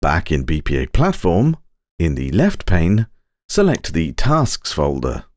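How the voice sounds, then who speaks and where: A man reading scripted instructional narration for a software tutorial, in a measured, precise, step-by-step manner. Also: sits low, wildly swooping pitch, no echo, studio quality. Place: close, dry voice-over recording.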